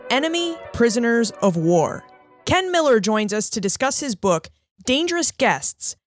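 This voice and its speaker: A woman hosting a history podcast, reading a scripted introduction and then welcoming and questioning her guest in an upbeat, friendly way. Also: on the high side. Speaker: podcast host.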